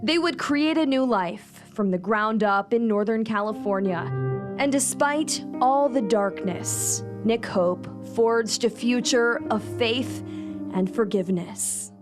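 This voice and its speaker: A woman reporter reading scripted news feature narration in a solemn, dramatic, measured way. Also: on the high side. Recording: music bed under voice-over. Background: instrumental music.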